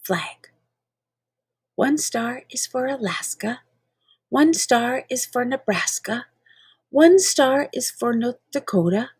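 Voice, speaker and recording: A woman's voice: a little high, expressive, slow pace, long pauses, a little mellow, husky, dry recording, studio quality. A woman reading children's poetry aloud in a playful, theatrical manner, drawing out words for emphasis. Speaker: reader of children's poems.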